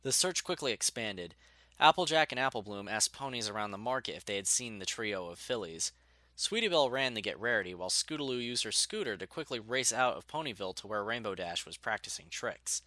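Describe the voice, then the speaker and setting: A man narrating a scripted story in an expressive storytelling manner. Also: on the low side, a little fast, no echo.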